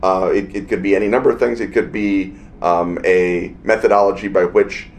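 A man with a fairly low voice rambles happily through ideas on a podcast. He talks casually, with plenty of ums and restarts. It was recorded in a hotel room with a faint hiss underneath. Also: fairly even intonation, moderate pace. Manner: rambling, enthusiastic, full of fillers. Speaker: podcast co-host. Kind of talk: casual chat.

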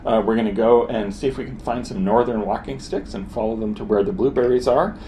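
Low-voiced man co-hosting a podcast. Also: fairly even intonation, fairly quick, mellow, slight background noise. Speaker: podcast co-host. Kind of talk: casual chat. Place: hotel room.

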